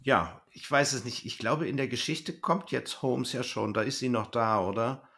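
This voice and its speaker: A man's voice: medium pitch, normal speed, fairly bright tone. A man speaking informally as a podcast host in casual review chat, with a dry, joking manner.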